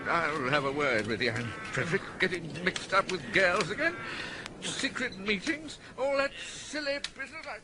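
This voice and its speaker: An actor playing a fussy, flustered elderly English earl who blusters and frets. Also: male voice, sits high, expressive, moderate pace, background noise. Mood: blustering.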